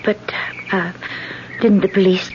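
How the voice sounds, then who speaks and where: An actress playing a nervous, guilty wife who speaks hesitantly with many pauses, in a 1970s radio drama. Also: female voice, mid-range voice, little pitch movement, darkish tone, slight background noise, lightly acted. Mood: uneasy. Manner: hesitant, halting, with many uhs. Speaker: politician, the lieutenant's wife. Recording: muffled vintage radio broadcast with music.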